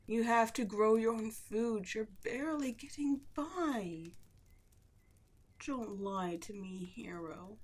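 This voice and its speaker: A woman playing a seductive, condescending demon queen in a scripted ASMR roleplay, gloating at the listener and dropping at times into breathy whispers. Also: mid-range voice. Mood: gloating, taunting. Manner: slow, taunting, condescending, drops into breathy whispers.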